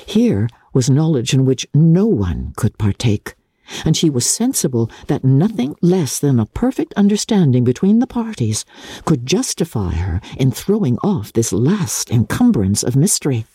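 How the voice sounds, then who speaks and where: A woman reading literary narration in a measured, formal and expressive dramatic style. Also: rumbling low, slightly rough, no echo, studio quality.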